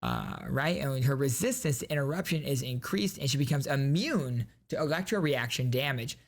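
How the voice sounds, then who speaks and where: A man's voice: mid-range voice, very animated, normal speed. A man hosting a gaming video, giving casual, enthusiastic reaction commentary in slangy language.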